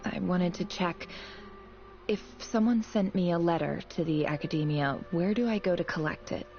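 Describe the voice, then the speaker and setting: A low, gravelly-edged young student. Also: female voice, moderate pace, lo-fi sound, soft background music. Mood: guarded, polite. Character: young female academy student, guarded, wry and matter-of-fact. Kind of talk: video game voice acting.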